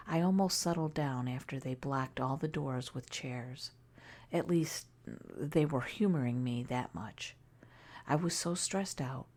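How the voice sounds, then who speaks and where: Very low, soft-spoken woman, half whispering. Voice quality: soft, breathy, often near a whisper. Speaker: horror story narrator. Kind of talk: soft-spoken ASMR scary story narration.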